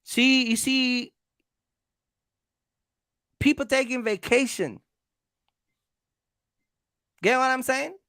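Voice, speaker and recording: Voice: high voice, steady tone, drawn-out words, pauses often, sharp tone, clear, resonant tone, no room sound, clean studio sound. A content creator delivering a casual, confrontational rant, repeating points and throwing out rhetorical questions. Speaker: YouTube content creator, trucking vlogger. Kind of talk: casual rant to camera, calling out rivals.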